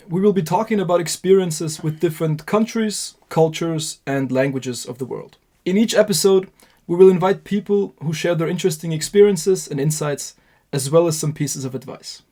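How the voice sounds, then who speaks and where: Podcast host guy, kinda high voice, asks stuff slow and careful. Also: dark tone. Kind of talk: podcast interview.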